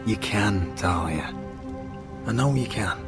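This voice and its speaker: A man playing a wounded young lord in a dramatic video game, weary and stubborn yet tender, speaking in a subdued, pained, halting way. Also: fairly low pitch, expressive. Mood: gentle, reassuring. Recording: loud music over the voice.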